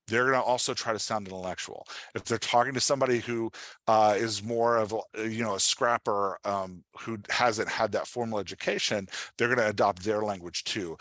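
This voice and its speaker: A man's voice: fairly low pitch, fairly bright tone, dry recording. A man giving an informal workshop as a writing coach, explaining with examples and often checking in with the listener. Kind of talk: informal instructional presentation.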